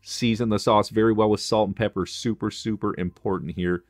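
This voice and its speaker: Deep-voiced chef giving cooking steps. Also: male voice, steady tone, brisk, no room sound, studio quality. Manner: instructive, friendly, practical kitchen tips. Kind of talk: cooking tutorial voice-over.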